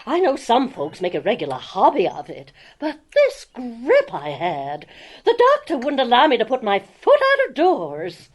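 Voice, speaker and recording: A woman's voice: a little high, wildly swooping pitch, moderate pace, dull audio. An actress playing a blunt, bossy, gossipy neighbor woman in a period drama, outspoken and opinionated. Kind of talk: audio drama acting.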